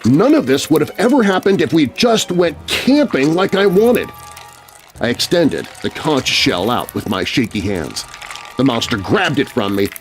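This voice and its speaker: A man narrating a scary children's story in an expressive, suspenseful, scripted style. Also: mid-range voice, measured pace, soft background music. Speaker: narrator, voice artist.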